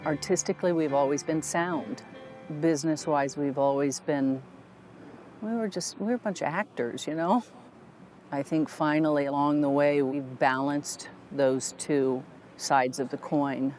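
A woman's voice: deep voice, a little slow, darkish tone, faint music behind. A middle-aged woman, a theater director, talking earnestly in a documentary interview, with a few restarts. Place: theater lobby, indoors.

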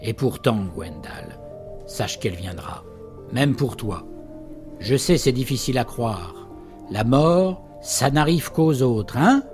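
An old village storyteller in an audio drama, swinging widely in pitch. He is theatrical and teasing, relishing ominous tales. Music plays clearly behind him. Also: animated, slow, a little mellow. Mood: grave. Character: old Breton father and fireside storyteller, jovial yet ominous. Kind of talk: French audio drama acting.

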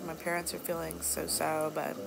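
Woman playing a low, creaky, flirty bar hostess. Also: monotone, moderate pace, background noise. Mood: warm, confiding. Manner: casual, teasing, drawling asides. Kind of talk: soft-spoken ASMR roleplay. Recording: music behind the voice.

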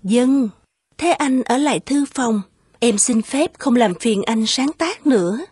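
A woman voicing a gentle young woman in love in an animated folktale, deferential and yearning. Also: fairly high pitch, a little slow, breathy edge, dry recording, heavily acted. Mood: tender.

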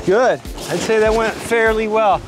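A man's voice: high-pitched, a little slow, over music. A man vlogging while sailing outdoors, cheerfully narrating each task and encouraging his partner. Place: outdoors on a sailboat.

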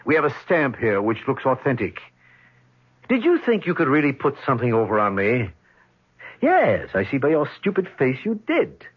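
An actor playing an arrogant, domineering middle-aged tycoon in a 1970s radio drama, sardonic and coldly mocking. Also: male voice, mid-range voice, huge pitch swings, normal speed, breathy edge. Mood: scornful, commanding. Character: ruthless tycoon, arrogant father of a grown son, coldly mocking. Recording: dull vintage radio drama recording.

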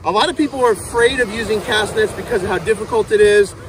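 Upbeat high-voiced man teaching on a beach. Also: fast, crowd noise. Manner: upbeat, repeats "guys" and "okay", talks while handling the net. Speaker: fishing channel host. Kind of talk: outdoor how-to demonstration. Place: sandy beach outdoors at dusk. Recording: outdoor beach noise behind the voice.

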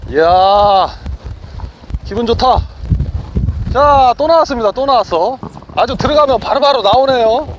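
Excitable man narrating fishing on a windy boat. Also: very high-pitched, a little slow, pauses often, low-quality recording. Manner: excited exclamations, chatty play-by-play with laughs. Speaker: fishing vlogger. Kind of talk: casual live commentary while fishing. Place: deck of a boat at sea, outdoors, windy. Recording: wind buffeting the mic outdoors. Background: wind and waves.

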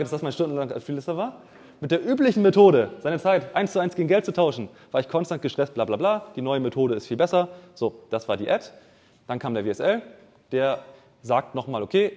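A man speaking at a live event, firing off marketing points at a fast clip and stacking up English business terms. His mid-pitched voice carries a long reverb tail from the hall he is in. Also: darkish tone. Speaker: keynote speaker. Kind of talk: live conference talk on marketing funnels.

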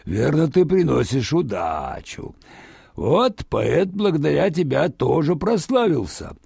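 A man's voice: fairly high pitch, sing-song, measured pace, creaky, a little mellow, breathy edge, dry recording, studio quality, exaggerated voice. A man voicing a possessive, lovelorn painter with a grand, scheming manner in a storybook tale. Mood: sly, demanding.

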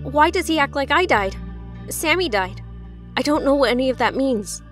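A woman's voice: high voice, lively intonation, moderate pace, soft background music. A young woman playing a troubled, determined heroine in a comic dub, with an earnest, intense delivery. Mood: distressed. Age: young adult. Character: troubled, determined young woman searching her father's secrets. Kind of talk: animated comic dub acting.

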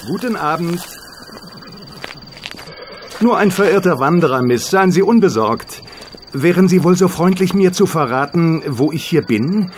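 An actor playing a smooth, insinuating male stranger, a sly racing spy, in a German radio play. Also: a little high, lively intonation, a little slow, pauses often, slight background noise. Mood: affable. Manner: glib, polite, coaxing.

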